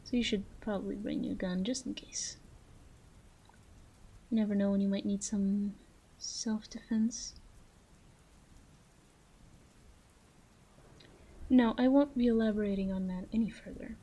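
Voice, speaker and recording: A woman's voice: medium pitch, steady tone, dark tone, poor audio. A woman playing a flirty, self-assured female mafia boss in a roleplay audio drama, coy and teasing as she speaks straight to the listener. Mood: suggestive, hushed. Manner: coy, teasing, drawn-out phrasing with breathy asides.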